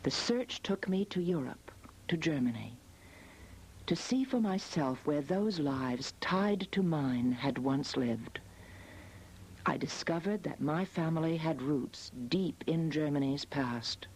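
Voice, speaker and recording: A woman from the United States narrating a scripted personal documentary as a photographer, in a measured, reflective manner. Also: deep voice, lively intonation, darkish tone, slight background noise, poor audio. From United States, after early childhood in Australia. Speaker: photographer narrating her family history.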